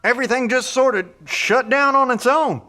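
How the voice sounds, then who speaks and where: High, bright, plain-spoken male survivor. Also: moderate pace. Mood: puzzled. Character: laid-back, down-to-earth male truck-owning survivor, blunt. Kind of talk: audio drama acting.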